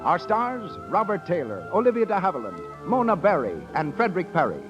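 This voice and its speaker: High, animated 1930s American radio announcer man. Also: fairly quick. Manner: formal, declamatory. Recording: dull 1930s radio broadcast with music.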